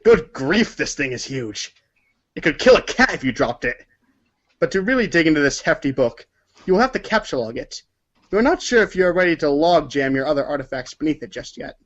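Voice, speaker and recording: A man's voice: fairly high pitch, very animated, fairly quick, muffled recording. A man narrating a story aloud as if from a storybook, sometimes stumbling over words and correcting himself. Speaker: narrator of a group readers' theater.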